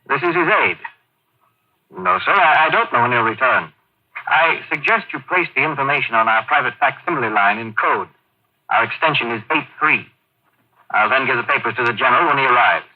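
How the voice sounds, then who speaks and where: A man's voice: mid-range voice, lightly acted. A man playing a dutiful, earnest army aide with deferential, clipped military formality in an old-time radio drama. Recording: tinny, noisy old radio recording.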